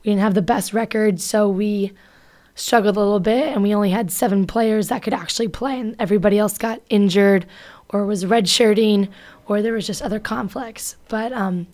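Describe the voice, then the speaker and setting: A woman's voice: medium pitch, fairly even intonation, moderate pace, darkish tone. A young woman in college hosting a casual radio talk show, chatty and full of "um" and "like" as she talks. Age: young adult. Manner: chatty, lots of "um" and "like".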